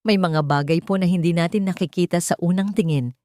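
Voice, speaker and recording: A woman's voice: fairly low pitch, fairly quick, a little mellow, no echo, clean studio sound, close to an everyday voice. A woman playing an elderly housemaid, cautious and deferential, in a narrated drama story. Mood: cautioning. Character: wary, respectful elderly housemaid giving warnings.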